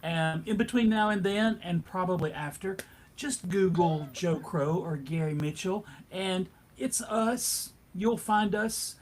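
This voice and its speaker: Slow-paced man chatting on a panel. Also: high voice. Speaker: panel co-host, wrestling announcer. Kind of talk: casual panel chat.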